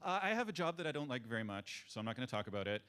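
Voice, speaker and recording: A man's voice: medium pitch, racing, clear, resonant tone. A man from France co-chairing a conference, speaking playfully to a live audience. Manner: animated, laughing, playful banter. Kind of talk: casual talk to a live audience. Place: conference room on stage.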